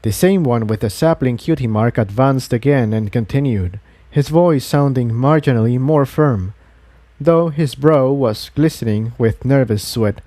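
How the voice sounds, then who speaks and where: A man's voice: medium pitch, measured pace, mellow, dry recording. A man reading a story aloud as a scripted narrator. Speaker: fan fiction narrator.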